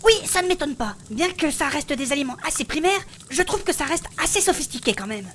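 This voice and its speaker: A woman's voice: high-pitched, animated, fairly quick, fairly bright tone, slight background noise. A woman playing an earnest, pushy young idealist in a comic fantasy audio drama, talking in an eager, insistent way.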